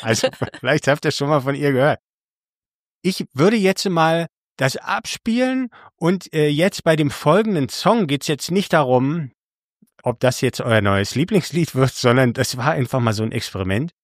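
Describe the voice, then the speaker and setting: A man's voice: on the high side, animated, normal speed, no echo, studio quality. A man co-hosting a casual podcast, who rambles and corrects himself mid-sentence. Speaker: podcast co-host. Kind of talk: casual chat.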